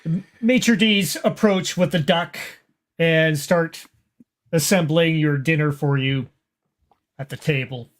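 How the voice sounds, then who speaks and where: A man narrating a tabletop horror roleplay as game master, describing scenes in a halting way. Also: a little high.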